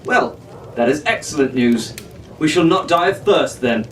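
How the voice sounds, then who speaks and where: A man's voice: on the high side, expressive, measured pace. Man playing a stiff young nobleman, echoey. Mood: relieved, formal. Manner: proper, haughty, grumbling. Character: stiff, disgruntled teenage nobleman's son. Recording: roomy, distant mic with faint noise.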